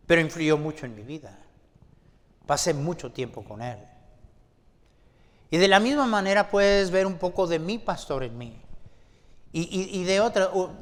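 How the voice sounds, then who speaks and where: Guy preaching slow in a church, kinda high voice, big long echo. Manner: deliberate, with repeated rhetorical questions to the congregation. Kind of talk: sermon. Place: church sanctuary. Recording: long church-hall reverb.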